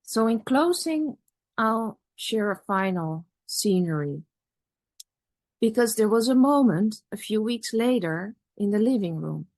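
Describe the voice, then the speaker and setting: A woman whose first language is Dutch, giving a measured, reflective academic talk at a conference with pauses and restarts. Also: medium pitch, lively intonation, darkish tone, no room sound. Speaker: conference speaker.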